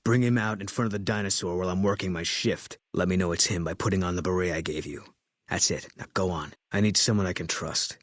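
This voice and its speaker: Low, husky, grim sniper. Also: male voice, fast, dry recording, clean studio sound. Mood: grim, resolute. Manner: terse, flat, clipped, brooding delivery. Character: grim, bitter male ex-army sniper, guarded and grieving widower. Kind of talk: video game voice acting.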